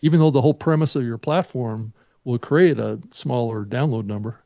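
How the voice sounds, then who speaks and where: A man speaking as a podcast co-host in a measured, analytical, conversational way. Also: medium pitch, gravelly, warm tone, dry recording, studio quality. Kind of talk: casual industry discussion.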